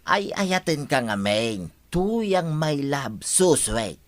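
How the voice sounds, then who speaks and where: An actor playing a smug, smooth-talking philandering husband in an Ilocano-accented radio comedy drama. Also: male voice, on the high side, very animated, slow. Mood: coaxing.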